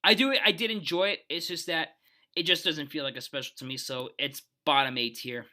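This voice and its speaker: A man with a very bright, forceful voice sits a little high in pitch. He hosts a video, talking off the cuff in a rambling, opinionated way. His delivery is casual but emphatic. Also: fairly even intonation, a little fast. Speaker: video host. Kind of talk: casual unscripted ranking commentary.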